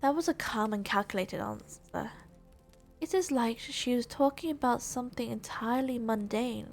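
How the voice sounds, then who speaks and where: A woman live-streaming a game playthrough, musing aloud in a halting, reflective way. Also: a little high, soft background music.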